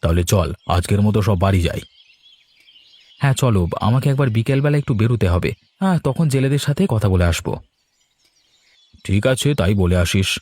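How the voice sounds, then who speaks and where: Man playing a fast-talking, practical village brother. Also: on the low side, expressive, a little mellow, no room sound. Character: hardworking village brother in fish business, brisk, practical. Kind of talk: cartoon character dialogue.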